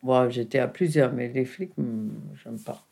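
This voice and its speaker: Woman, non-native speaker, gravelly, mellow and dark, slow and halting. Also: rumbling low. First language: not French. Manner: halting, searching for words, many restarts. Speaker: interviewee, the filmmaker's mother. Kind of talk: intimate conversation.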